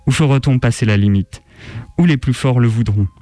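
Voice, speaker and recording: A man's voice: medium pitch, brisk, mellow, no echo, soft background music. A man giving a formal, emphatic, rhetorical reading of a literary text.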